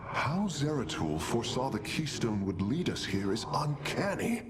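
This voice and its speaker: Man as animated alien engineer amid loud ambient noise. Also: mid-range voice, normal speed, close to an everyday voice. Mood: awed, analytical. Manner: precise, formal, intrigued. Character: earnest, analytical male alien engineer. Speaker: engineer and scientist. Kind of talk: video game cinematic dialogue.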